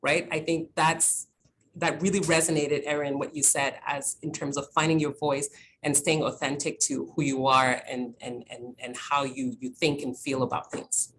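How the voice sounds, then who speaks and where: A woman's voice: low voice, flat delivery, normal speed, a bit bright, a little hiss or hum, low-quality recording. A woman panelist on a video call, speaking fluently and reflectively. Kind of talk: panel discussion.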